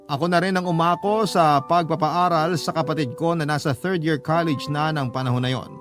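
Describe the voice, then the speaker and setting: Male radio drama narrator, scripted first-person storytelling, slightly high voice with clear music underneath. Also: steady tone, brisk. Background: background music.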